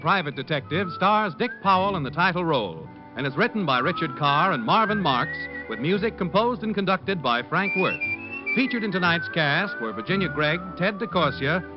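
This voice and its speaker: A man with an American accent doing scripted old-time radio announcing. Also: high voice. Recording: dull old radio recording with music.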